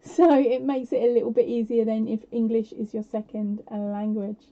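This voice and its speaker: A woman hosting a live prize quiz on her stream, chatty and self-deprecating with lots of fillers, her voice a little croaky. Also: fairly high pitch, flat delivery, normal speed, mellow. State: slightly croaky voice. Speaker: podcast host running a live prize quiz. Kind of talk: casual live-stream chat. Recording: dull lo-fi home stream audio.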